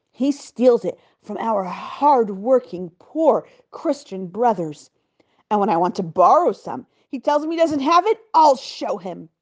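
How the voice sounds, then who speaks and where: A woman doing a booming, raging governor. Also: a little mellow, muffled recording, close to an everyday voice. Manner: booming, ranting, scornful. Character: arrogant, furious male provincial governor.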